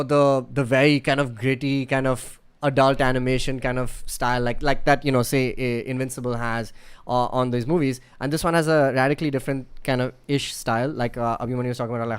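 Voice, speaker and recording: Male podcast co-host, mid-pitched, enthusiastic rambler who restarts a lot. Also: steady tone, a little fast, clean tone. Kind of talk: casual chat.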